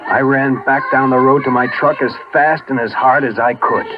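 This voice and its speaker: A man's voice: medium pitch, fairly even intonation, moderate pace, lightly acted. A dull, vintage 1950s radio recording with music behind it. A male actor plays an American soldier telling his own story in the first person, moved by what he remembers. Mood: stirred, urgent. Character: American army soldier recalling a Christmas in Korea, moved. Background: dramatic music.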